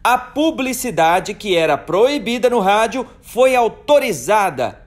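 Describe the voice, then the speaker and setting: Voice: mid-range voice, sing-song, measured pace. A presenter narrating history informally, with chatty, emphatic asides to the viewer. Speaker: video presenter.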